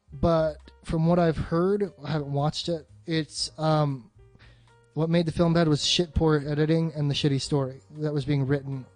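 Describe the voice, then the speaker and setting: Male podcast co-host, slightly high voice, dry close sound, sweary and opinionated film chat, faint music behind. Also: a little mellow, no room sound. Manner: profane, emphatic, opinionated. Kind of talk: casual film discussion.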